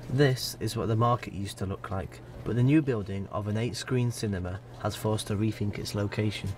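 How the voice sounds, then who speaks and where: A man's voice: fairly low pitch, moderate pace, a little mellow, a little hiss or hum. A man reading a scripted voice-over as a reporter for a local newsreel. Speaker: news reporter narrating voice-over.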